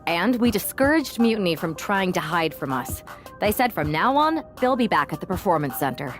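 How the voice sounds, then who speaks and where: A woman's voice: on the low side, very animated, moderate pace, faint music behind. An actress playing a composed, determined female pro wrestler laying out strategy in a video game cutscene. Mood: composed, resolute.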